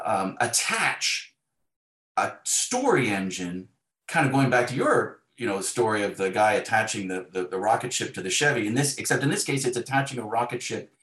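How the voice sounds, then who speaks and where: A man talking reflectively and haltingly as a novelist being interviewed, often voicing imagined remarks. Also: mid-range voice, fairly bright tone. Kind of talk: thoughtful conversational interview. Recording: roomy, echoing sound.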